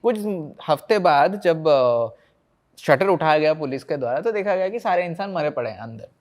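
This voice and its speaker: A man's voice: a little high, expressive, moderate pace, darkish tone. An Indian man, a paranormal investigator, telling stories conversationally as a podcast guest, mixing Hindi and English and acting out reported dialogue.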